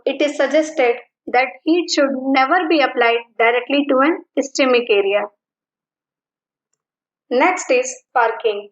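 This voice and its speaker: A woman teaching physiotherapy in a lecture, explaining each term slowly and deliberately. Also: sits high. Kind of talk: lecture, mixing Hindi and English. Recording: roomy, dull lo-fi sound.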